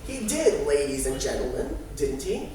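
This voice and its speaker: A man's voice: fairly high pitch, very animated, normal speed, distant mic, voice pushed up. A man playing exaggerated, playful pantomime characters in a declamatory stage manner. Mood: bickering. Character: exaggerated pantomime characters bickering and hinting to audience. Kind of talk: pantomime stage acting demonstration. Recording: very echoey, noisy room from afar.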